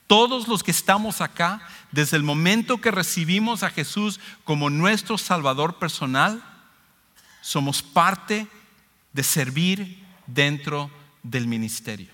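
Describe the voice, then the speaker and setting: A male preacher with a Latin American Spanish accent delivers a sermon in a bright, forceful voice that sits slightly high. He is emphatic, restating key phrases as he explains scripture to a congregation. Long reverb from a large hall trails his words. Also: animated, measured pace. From Ecuador.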